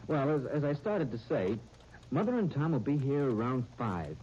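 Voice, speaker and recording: A man's voice: medium pitch, big pitch swings, a little mellow, natural voice. An actor playing an eager, earnest young American man who trips over his words, in a 1930s radio drama. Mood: casual, asking. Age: young adult. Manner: halting, stammering over words. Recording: muffled, noisy 1939 radio broadcast recording.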